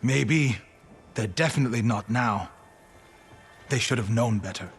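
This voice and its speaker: An actor playing a grieving, vengeful warrior monk in a video game, speaking in a solemn, brooding and terse way. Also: male voice, medium pitch, a little slow, a little hiss or hum, close to an everyday voice. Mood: grim, grieving. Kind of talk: dramatic video game cutscene acting.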